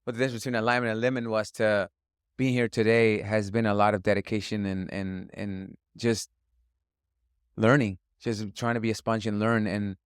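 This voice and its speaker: Low, smooth-voiced man, earnest and halting. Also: little pitch movement, dry recording, clean studio sound. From Northern California. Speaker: chef and restaurant guest. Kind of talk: casual interview conversation.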